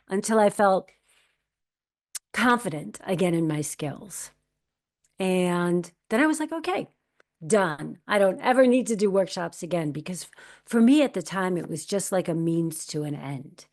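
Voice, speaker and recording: A woman's voice: on the low side, animated, a little slow, a little mellow. A woman in her fifties, an actress, telling her story in a candid, reflective interview. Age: 50s. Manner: candid, reflective, quotes her own past thoughts. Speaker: actress and guest.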